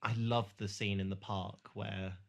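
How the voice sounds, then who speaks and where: Male podcast host, low and forceful, casual recapper who hesitates and searches for words. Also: little pitch movement, measured pace, creaky, muffled recording. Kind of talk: casual recap chat about a TV drama.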